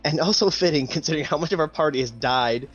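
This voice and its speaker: A man's voice: on the high side, fast. A man playing a tabletop roleplaying game, chatting casually as he works out his character's moves. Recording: dull lo-fi audio with background music. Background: music.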